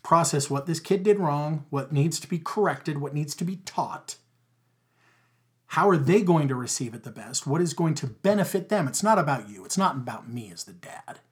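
A middle-aged man with a loud voice, talking emphatically in a casual podcast conversation and repeating his key words. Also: fairly high pitch, lively intonation, normal speed. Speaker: podcast guest, father.

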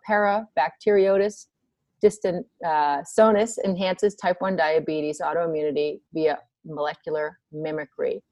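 A woman's voice: fairly low pitch, a little mellow, no room sound. A woman hosting a podcast interview, asking questions in a hesitant way with many fillers. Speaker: podcast host.

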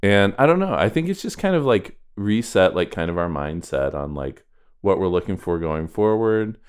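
A man's voice: sits low, sing-song, moderate pace, clear, resonant tone. Man co-host with a clean, resonant voice, casual and rambling conversational delivery. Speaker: podcast co-host. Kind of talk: casual chat.

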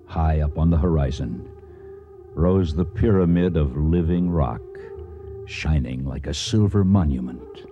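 A very deep-voiced weary archaeologist. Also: male voice, a little slow, highs cut off, soft background music. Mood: solemn, haunted. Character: weary male archaeologist recounting a tragic dig. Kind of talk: radio drama acting with first-person narration.

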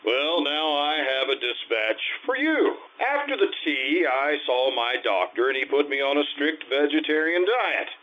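A man's voice: a little high, very animated, unhurried, hardly any pauses, lo-fi sound. A man playing a blustery, irritable older school principal in old-time radio comedy style, pompous and sputtering. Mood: stern, smug.

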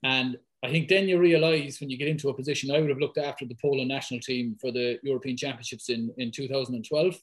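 Male guest, thick Irish accent, mid pitch, rapid chatty delivery. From west of Ireland. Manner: fast, lively talker, many fillers. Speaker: guest, football fitness coach. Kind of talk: casual podcast conversation.

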